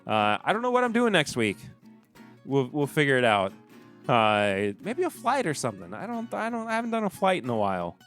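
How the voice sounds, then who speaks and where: A man's voice: medium pitch, wildly swooping pitch, fairly quick, a bit bright, faint music behind. A man hosting a podcast, wrapping up a solo episode in a rambling, chatty way with joking asides. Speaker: podcast host. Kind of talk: casual solo podcast outro.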